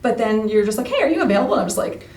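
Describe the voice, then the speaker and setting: A young American woman chatting casually in an interview, animated and self-deprecating, often acting out remembered bits of conversation. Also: fairly high pitch, racing. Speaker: radio play cast member being interviewed. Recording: echoey room, distant mic, faint noise.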